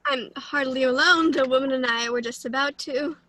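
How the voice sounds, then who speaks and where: Casting: woman as cocky secret agent, high dry-sounding voice, self-important, comedic stage script. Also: moderate pace, fairly bright tone, no room sound. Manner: self-important, flustered when challenged. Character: cocky, would-be suave secret agent gambler. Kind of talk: comedic live play reading.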